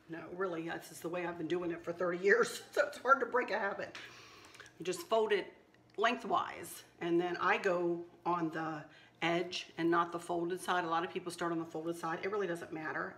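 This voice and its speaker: A slightly hoarse woman hosting a casual craft tutorial, chatting and teasing herself as she works. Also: on the low side, fairly bright tone, echoey room, slight background noise. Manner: chatty, self-teasing, talks while working. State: a little hoarse. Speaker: craft channel host. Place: kitchen counter indoors.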